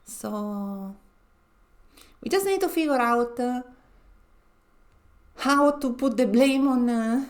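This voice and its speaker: A woman with a nasal, slightly high voice talks casually while streaming a game. She rambles in a chatty way and often breaks into laughter between phrases. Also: takes it slowly, long pauses. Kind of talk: casual let's-play commentary.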